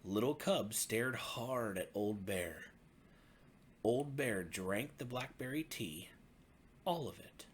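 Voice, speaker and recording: A man, a pastor, narrating a bedtime story for children in a gentle, expressive way with deliberate phrasing. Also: medium pitch, a little hiss or hum.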